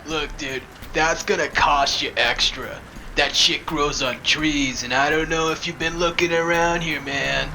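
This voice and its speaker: A man's voice: a little high, steady tone, a little slow, vocal fry, pushed and bright, slight background noise, exaggerated voice. A man playing a mouthy stoner street drug dealer with drawling, slangy speech in an audio drama. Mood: laid-back, pushy. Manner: drawling slang, mocking, says dude constantly. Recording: music behind the voice.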